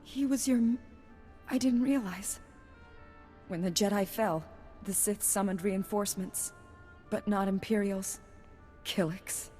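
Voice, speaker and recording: An actress playing a frightened young research worker and anxious survivor in a video game, hesitant and emotional. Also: female voice, medium pitch, a little slow, pauses often, a little mellow, long reverb tail, faint music behind, natural voice. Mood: shaken. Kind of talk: video game cutscene acting.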